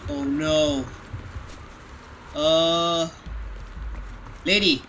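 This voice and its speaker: A man's voice: on the high side, flat delivery, drawn-out words, a little hiss or hum, low-quality recording. A man giving casual gaming commentary in short, exclaiming reactions as he plays. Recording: voice over loud game music.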